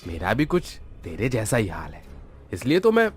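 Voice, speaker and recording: A man's voice: on the low side, wildly swooping pitch, normal speed, a little hiss or hum. A man voicing boozy, troubled drinkers in a cartoon story, with exaggerated, emotional delivery. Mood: gloomy. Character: boozy, troubled men drinking to forget sorrows. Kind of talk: animated moral story dialogue.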